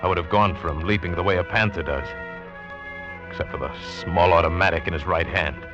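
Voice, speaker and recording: Hunted castaway played by a male actor, very low voice, tense dramatic narrator for vintage radio thriller, music underneath. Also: fairly even intonation, lightly acted. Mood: tense, dread. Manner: dramatic, intense, deliberate storytelling. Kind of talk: 1940s radio drama first-person narration. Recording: dull vintage 1940s radio recording.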